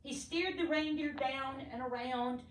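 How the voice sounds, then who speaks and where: A woman reading a children's storybook aloud expressively, with theatrical, deliberate emphasis for young listeners. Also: high voice. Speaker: storybook reader. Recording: echoey room, distant mic, faint noise.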